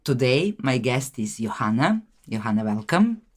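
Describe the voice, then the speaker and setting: A woman's voice: extremely low pitch, very animated, moderate pace. A woman hosting a podcast interview, speaking in a friendly, hesitant way with non-native phrasing and frequent restarts. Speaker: podcast host.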